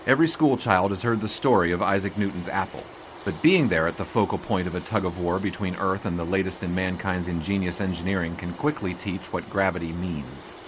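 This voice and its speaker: An actor playing an earnest, wordy sportswriter, narrating a radio drama in a formal, literary, wry way. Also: male voice, low voice, brisk, background noise, close to an everyday voice. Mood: reflective, wry. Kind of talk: scripted first-person radio drama narration.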